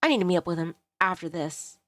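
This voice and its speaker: A woman voicing a devoted, reassuring male love interest in a visual novel, gentle and sincere. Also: lowish voice, expressive, fast, no room sound, clean studio sound.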